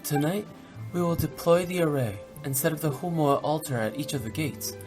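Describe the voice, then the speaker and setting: This is a confident, playful young female funeral-parlor director in a video game. She lays out plans in a low, steady voice. Faint music sits in the background. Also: normal speed. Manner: self-assured, briefing the group.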